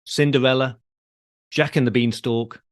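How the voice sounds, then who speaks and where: A British man hosting a podcast, explaining things in a measured, conversational way. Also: mid-range voice, fairly even intonation, dry recording, clean studio sound. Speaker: podcast host.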